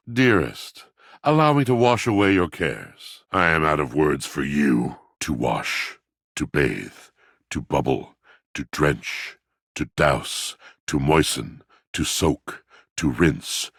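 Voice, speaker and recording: Very deep, gravelly poetic brooder. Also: male voice, fairly bright tone, dry recording, clean studio sound, heavily acted. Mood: poetic, devoted. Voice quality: gravelly, husky. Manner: florid, grandiose, poetic diction. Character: brooding, melodramatic poetic male washing machine, lovelorn. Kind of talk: theatrical video game character acting.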